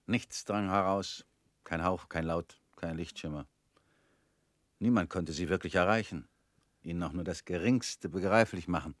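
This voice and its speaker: An actor in a radio play playing a calm former prisoner who questions in a cool, probing, slightly ironic way. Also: male voice, low voice, unhurried, no room sound, clean studio sound.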